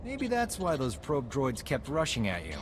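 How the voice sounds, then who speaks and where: An actor playing a sardonic, wisecracking male army medic in a video game, husky and dryly sarcastic. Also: medium pitch, huge pitch swings, normal speed, a little hiss or hum. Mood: wry. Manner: dry, sarcastic. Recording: hall reverb.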